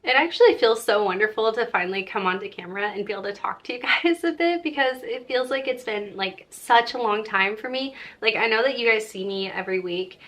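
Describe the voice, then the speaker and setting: Young female vlogger, fairly high voice, informal and chatty, speaking straight to viewers. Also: a little fast, few pauses, a bit bright. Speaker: crochet vlogger and host. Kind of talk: casual chat to viewers.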